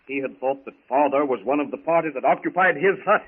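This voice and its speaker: A man's voice: fairly high pitch, fast, slightly rough. A man narrating a jungle adventure serial in florid, theatrical 1930s radio style. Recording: tinny, hissy 1930s radio recording.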